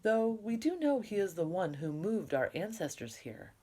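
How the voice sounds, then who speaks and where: A friendly village guide from a storybook, voiced by a woman reader. The delivery is matter-of-fact and explanatory, lifted above the reader's usual pitch. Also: very animated, moderate pace, dark tone. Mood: informative.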